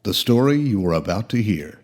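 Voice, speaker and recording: Husky, deep, solemn radio announcer. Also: male voice, lively intonation, fairly quick, a little mellow. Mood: grave. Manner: grave, portentous, clipped. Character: solemn old-time radio crime show announcer.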